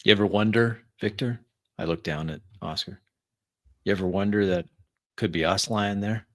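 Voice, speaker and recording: A man playing a tabletop roleplaying game, narrating his actions and speaking in character in an unhurried, deliberate way. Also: fairly low pitch, fairly bright tone. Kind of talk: improvised in-character roleplay and first-person narration.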